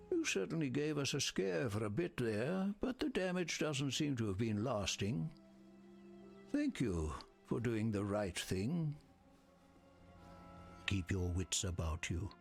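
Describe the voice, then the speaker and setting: A gravelly, reflective game survivor. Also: male voice, mid-range voice, full character voice. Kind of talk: video game character acting.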